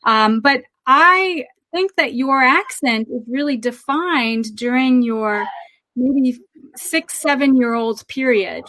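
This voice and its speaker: Brief: American woman co-hosting a chat podcast, thoughtful and unhurried, slightly higher voice. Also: fairly bright tone. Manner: reflective, measured, with frequent ums. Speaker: podcast co-host.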